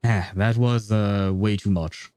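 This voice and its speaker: Mellow, rambling male livestreamer, non-native English. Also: on the low side, steady tone, measured pace, no echo. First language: not English. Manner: rambling, hesitant, polite, chuckling at himself. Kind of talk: casual livestream chat with viewers over gameplay. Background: faint game music.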